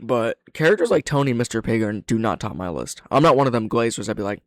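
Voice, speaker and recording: A man's voice: mid-range voice, expressive, quick pace. A young man giving casual, frank commentary as a reaction video host and reviewer, opinionated and prone to swearing.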